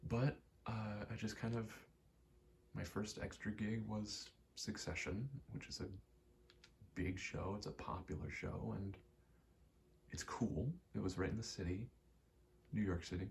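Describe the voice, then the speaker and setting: A man's voice: lowish voice, steady tone, unhurried, creaky, pauses often, a little hiss or hum. A young American man in his twenties rambles in a casual, candid ASMR chat, swearing now and then. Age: 20s. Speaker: ASMR rambler and aspiring actor.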